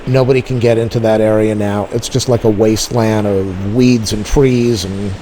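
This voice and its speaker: A man's voice: lowish voice, steady tone, normal speed, warm tone, slight background noise, poor audio. A man giving casual, rambling, explanatory off-the-cuff narration as a plane-spotting vlogger outdoors.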